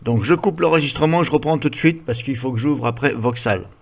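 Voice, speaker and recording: A man talks through a software tutorial at an ordinary middle pitch. He explains informally, circling back over his points and rambling a little. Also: a little fast, creaky.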